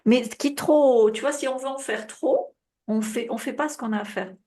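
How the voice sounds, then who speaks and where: A woman coaching on a group call in a relaxed, chatty way, heavy with fillers and check-ins, her voice a bit worn with coughing. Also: high voice, moderate pace. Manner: casual, advising, many fillers and tu vois. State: coughing, voice tiring. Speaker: business coach.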